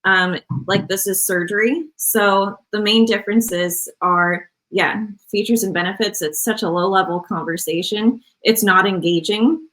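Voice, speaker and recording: Relaxed woman guest, filler-heavy speech. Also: medium pitch, a little slow, hardly any pauses, low-quality recording. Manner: relaxed, rambling, many fillers like "um" and "like". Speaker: podcast guest, medical device sales rep. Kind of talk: casual podcast conversation.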